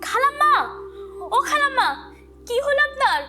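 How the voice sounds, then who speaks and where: Cartoon maid voiced by a woman: anxious, deferential, piercingly high, swooping melodrama over music. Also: expressive, moderate pace. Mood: panicked. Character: anxious, deferential female housemaid. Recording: music clearly behind the voice.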